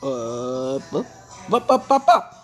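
A woman's voice: a bass voice, very animated, slow pace, soft background music. A woman improvising a cartoon story, rambling playfully and switching into character voices. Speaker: hobby animator narrating and voicing characters. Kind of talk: improvised cartoon play-along narration.

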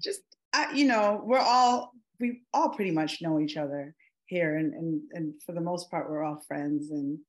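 A woman's voice: low voice, normal speed, low-quality recording. A middle-aged woman offering casual, sincere remarks as an actor on a video call. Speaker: actor on a panel.

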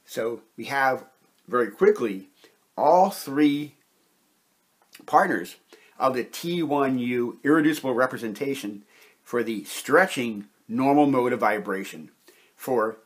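A man with a husky, medium-pitched voice teaches like a lecturer at a whiteboard. He moves step by step in a deliberate, methodical way, leaving short pauses between phrases. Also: expressive. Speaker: chemistry lecturer, presenter. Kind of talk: step-by-step instructional explanation at a whiteboard.

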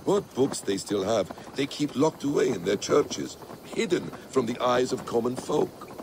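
An actor playing a worldly, thoughtful warrior-scholar in a video game, with a measured, eloquent, poetic delivery. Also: male voice, mid-range voice, expressive, vocal fry, background noise. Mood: nostalgic, learned. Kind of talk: dramatic video game acting.

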